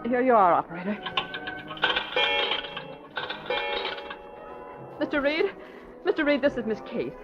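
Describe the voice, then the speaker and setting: A woman's voice: a little high, very animated, fast, over music. An actress playing a proper, earnest young woman investigator in a 1940s radio drama. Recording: dull old radio recording.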